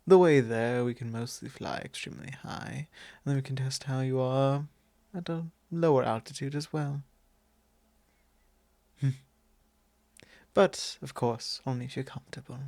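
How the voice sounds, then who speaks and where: Man playing a slow-spoken, doting vampire lord. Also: mid-range voice, fairly even intonation, gravelly, dry recording. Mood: courteous, inviting. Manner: soft, drawn-out, genteel old-fashioned phrasing. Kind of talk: ASMR roleplay. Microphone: close microphone.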